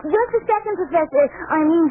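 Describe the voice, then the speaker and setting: An actress playing a plucky, quick-witted young American woman innkeeper in a 1940s radio comedy, on a noisy vintage recording. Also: extremely high pitch, expressive, phone-quality sound. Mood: flustered.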